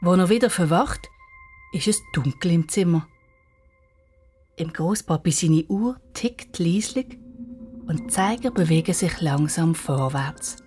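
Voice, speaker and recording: A woman's voice: fairly low pitch, unhurried, pauses often, darkish tone, soft background music. A woman with a Swiss German accent narrating a scripted children's story.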